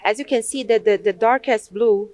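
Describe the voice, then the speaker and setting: A woman's voice: on the high side, moderate pace, darkish tone, no room sound. A young woman from Brazil with a Brazilian accent, giving a hesitant conference presentation at a podium, with many restarts and fillers. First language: Portuguese. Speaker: conference speaker. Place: large conference hall, at a podium.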